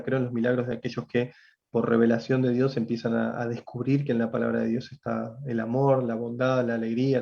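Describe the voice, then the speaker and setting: A man's voice: mid-range voice, gravelly. Rioplatense man preaching, muffled audio. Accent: Rioplatense Spanish. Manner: conversational, addresses listeners directly, with jokes. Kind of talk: livestreamed Bible teaching.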